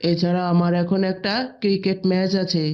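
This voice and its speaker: A woman's voice: on the low side, monotone, normal speed, darkish tone, natural voice. A woman playing a stubborn, lazy boy in a moral cartoon, questioning what he is told. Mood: defiant. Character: stubborn, lazy boy who loves TV and cricket. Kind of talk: dubbed Islamic moral cartoon dialogue. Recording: dull, lo-fi sound.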